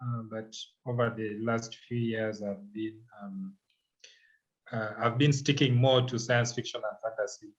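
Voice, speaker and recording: A man introducing himself conversationally on an online panel, halting and restarting his phrases. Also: lowish voice, steady tone, lo-fi sound. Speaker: writer and filmmaker, panelist.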